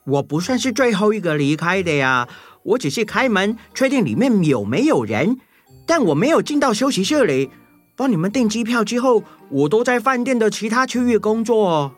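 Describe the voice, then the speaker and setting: A man's voice: high voice, very animated, moderate pace, soft background music. A man voicing a busy, flustered male meerkat hotel worker in a children's audio story. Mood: defensive.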